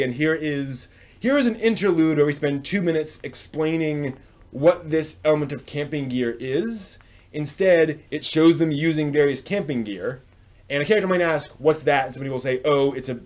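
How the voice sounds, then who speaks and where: Male live stream host, unscripted reviewer, mid-pitched, conversational, thinks aloud and rephrases as he goes. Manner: halting and discursive, circles back to rephrase. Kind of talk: casual unscripted review commentary.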